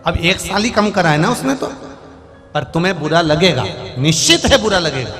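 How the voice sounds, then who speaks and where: Indian preacher in a reverberant hall. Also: male voice, high voice, big pitch swings, normal speed. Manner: rises and falls, urges the crowd to answer back. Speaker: preacher telling a Shiva scripture story. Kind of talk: devotional sermon to a large crowd. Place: large venue with a crowd and speakers. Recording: live sermon in a long reverberant hall with music behind.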